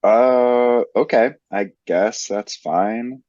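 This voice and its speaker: A man's voice: medium pitch, extremely slow, darkish tone, no room sound, lo-fi sound. An actor playing a hesitant man who answers personal questions from a health interviewer with reluctance. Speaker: interviewee in a training role-play.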